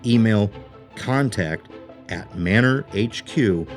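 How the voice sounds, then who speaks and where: Low-voiced man reading a promo over music. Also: animated, slow pace. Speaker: announcer. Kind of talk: scripted promotional narration.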